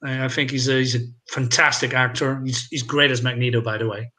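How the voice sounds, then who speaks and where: A man's voice: mid-range voice, steady tone, brisk, bright, forceful tone. A man co-hosting a casual movie discussion, giving his opinions freely. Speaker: film discussion show co-host.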